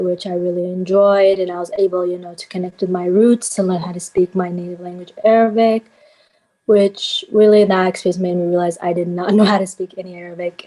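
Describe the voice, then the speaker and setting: A young woman from Canada gives a casual introduction over a video call, poking gentle fun at herself. Her voice is low and mellow, and it stays level without much lift. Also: low-quality recording. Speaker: panelist.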